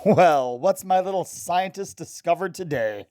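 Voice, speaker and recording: A woman acts out a devoted scientist husband in a vintage-style radio play. The voice sits low, with a fond, encouraging manner. He is proud of his wife and teases her gently. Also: lively intonation, unhurried, a little hiss or hum. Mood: fond, amused. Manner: tender, encouraging, gently teasing. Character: affectionate, proud scientist husband, adult man. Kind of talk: old-time radio drama acting.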